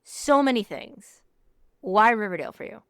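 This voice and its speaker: A woman's voice: a little high, expressive, moderate pace, fairly bright tone, clean tone, dry recording, studio quality. A woman hosting a podcast, interviewing in a casual, chatty style. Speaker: podcast host.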